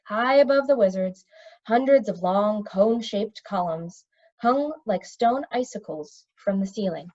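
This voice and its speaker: A woman narrates a children's fantasy story out loud with expression. Her voice sits at a middle pitch. The recording is dull and low in fidelity. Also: lively intonation, measured pace. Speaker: storybook reader.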